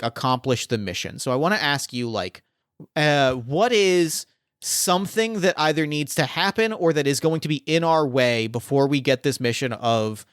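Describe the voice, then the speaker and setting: A man's voice: mid-range voice, fairly bright tone, smooth, no echo, clean studio sound. A man narrating as game master of a tabletop role-playing game, rambling and packing his speech with fillers.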